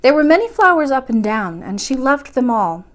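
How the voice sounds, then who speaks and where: A woman's voice: sits high, sing-song, moderate pace. A woman reading a children's story aloud expressively, as the host of a bedtime storytime.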